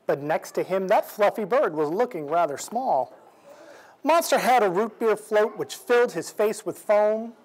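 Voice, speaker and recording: A man in his forties reading a picture book aloud to children in an expressive, measured storytelling way. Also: sits high, rough recording. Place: indoors, library event room. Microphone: lapel. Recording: clear background noise in room.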